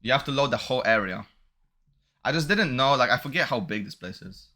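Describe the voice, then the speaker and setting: Bright-voiced man streaming a game, slangy. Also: medium pitch, animated, brisk. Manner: slangy, reactive, bro-heavy talk. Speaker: gaming streamer reacting to a playthrough. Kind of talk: casual gameplay commentary, reading dialogue aloud.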